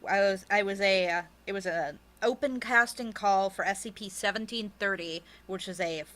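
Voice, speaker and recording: Bright, forceful woman, bluntly chatting. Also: mid-range voice, moderate pace. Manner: blunt, emphatic, short repeated phrases. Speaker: podcast producer and writer being interviewed. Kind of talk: casual interview chat, personal storytelling.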